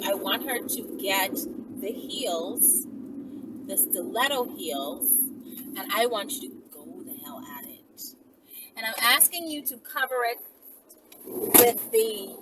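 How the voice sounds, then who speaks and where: Casting: female channel host, slightly high-pitched, emphatic and chatty, recorded at a distance under heavy noise. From Bahamas. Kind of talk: casual monologue to viewers. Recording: distant mic, loud vehicle-like background noise.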